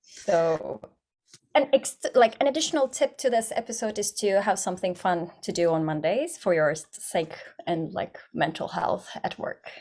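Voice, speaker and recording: A woman's voice: lowish voice, moderate pace, darkish tone. A woman hosting a podcast, introducing and chatting in a casual conversational style. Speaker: podcast host.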